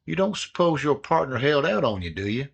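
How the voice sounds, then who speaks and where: Guy playing a cop ribbing a suspect, husky-ish, a bit off mic. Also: mid-range voice, sing-song, a little fast, dull audio, full character voice. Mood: needling. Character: wry male police detective partner.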